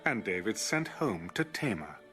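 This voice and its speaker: A man's voice: on the low side, big pitch swings, moderate pace, a bit bright, faint music behind. A man giving a dramatic scripted narration of scripture for an audio Bible.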